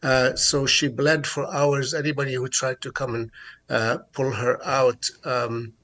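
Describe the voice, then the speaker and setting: Radio guest, middle-aged man from Jerusalem, halting delivery full of fillers, mid-pitched. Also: level tone, a bit bright. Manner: hesitant, many fillers and restarts. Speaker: guest activist. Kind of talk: radio interview.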